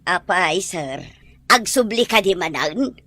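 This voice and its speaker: Actress as older female housekeeper, gossipy yet respectful; raspy, highly animated, radio drama. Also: takes it slowly, no room sound, heavily acted. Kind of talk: Ilocano audio drama acting.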